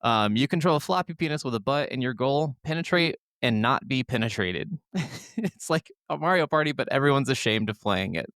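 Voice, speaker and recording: A man's voice: medium pitch, animated, a little fast, a bit bright, clean tone, no room sound, clean studio sound. A man co-hosting a podcast in casual chat, talking in a crude, jokey, profane way. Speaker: podcast co-host.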